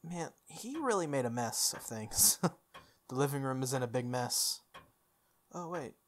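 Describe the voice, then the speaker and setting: A young adult streamer reading a visual novel aloud, with expressive delivery and casual, playful asides. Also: medium pitch, a little slow, slight background noise. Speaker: game streamer. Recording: music playing under the voice. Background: background music.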